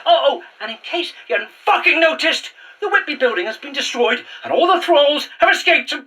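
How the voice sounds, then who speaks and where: Frantic entitled young woman, bright forceful voice, sarcastic, sweary, slightly distant from the mic. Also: high-pitched, animated, brisk. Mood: furious, desperate. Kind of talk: naturalistic audio drama acting.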